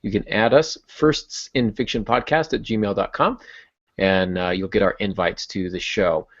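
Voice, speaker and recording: A man's voice: fairly low pitch, a little slow, dry recording. A man hosting a casual writing podcast, rambling along in a self-deprecating way over an online call. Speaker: podcast host and fiction author. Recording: dull, dry, lo-fi online call audio.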